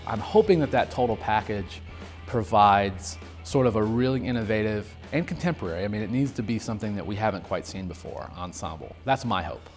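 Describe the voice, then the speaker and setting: A man on a music school's staff answering interview questions in informal, halting phrasing. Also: lowish voice, expressive. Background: faint music.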